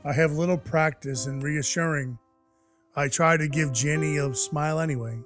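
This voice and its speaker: A man's voice: on the high side, fairly even intonation, normal speed, fairly bright tone, soft background music, lightly acted. A man playing a brash, wisecracking dwarf who is Santa's helper, narrating and acting in an audio play with blunt, wry phrasing. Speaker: narrator and lead character.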